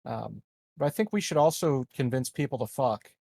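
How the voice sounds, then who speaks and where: A man's voice: medium pitch, animated, normal speed, creaky, darkish tone, husky, no echo, studio quality. A man playing a tabletop roleplaying game, chatting casually and reasoning out choices in a tentative way. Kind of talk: casual chat.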